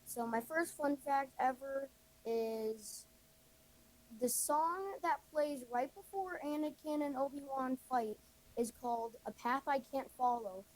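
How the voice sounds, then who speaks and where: A female caller leaving a casual voicemail, halting and repeating herself. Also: high voice, darkish tone, rough recording.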